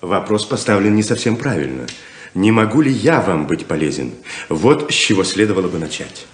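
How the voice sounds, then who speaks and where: Slick traveling swindler in an old radio play, lowish voice, big swoops, very sure of himself. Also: male voice, very animated, moderate pace, a little hiss or hum. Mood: grand, persuasive. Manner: theatrical, grandly persuasive. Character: smooth-talking, self-assured male con-man rainmaker. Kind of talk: 1970s Soviet radio play acting.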